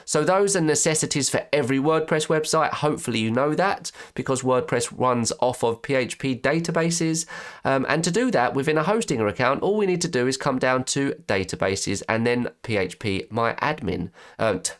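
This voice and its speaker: A man talks through a screen-recorded tutorial with a stuffy nose and a slightly croaky voice. His delivery is chatty and informal, full of quick restarts and corrections as he works on screen. His pitch sits in a middle range for a man. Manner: chatty, restarts and self-corrects while clicking. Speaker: web design tutor.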